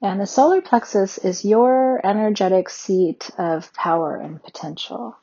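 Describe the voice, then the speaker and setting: Slow, husky-voiced woman guiding meditation. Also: medium pitch, muffled recording. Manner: slow, soothing, gentle instructions. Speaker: meditation guide. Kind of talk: guided meditation.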